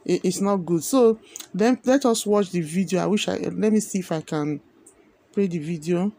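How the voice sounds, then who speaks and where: Nigerian man, mellow low-key tone, earnest commentary, repeats points, lots of fillers. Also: normal speed, vocal fry. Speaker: video commentator. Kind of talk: opinionated commentary.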